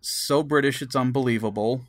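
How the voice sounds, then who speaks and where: A man's voice: mid-range voice, normal speed, no room sound. A man chatting casually as a podcast co-host.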